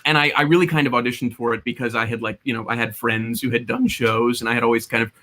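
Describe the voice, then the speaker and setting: Young male guest from Hawaii, chatty and self-deprecating, bright voice. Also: mid-range voice, fairly even intonation, quick pace. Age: young adult. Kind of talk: casual interview chat.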